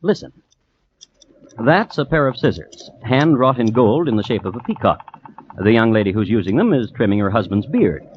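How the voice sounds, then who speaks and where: A man hosting and narrating an old-time radio true-crime show in a deliberate, wry, understated manner. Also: medium pitch, big pitch swings. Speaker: host and narrator. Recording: dull, faintly noisy old radio recording.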